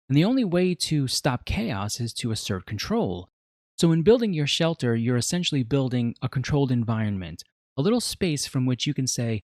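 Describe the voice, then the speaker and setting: A man in his forties hosts a scripted review with lively, varied intonation. He explains earnestly and leans on key words, in a close, dry recording with a mid-range voice. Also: mid-range voice, no room sound. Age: 40s. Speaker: video essay host and reviewer.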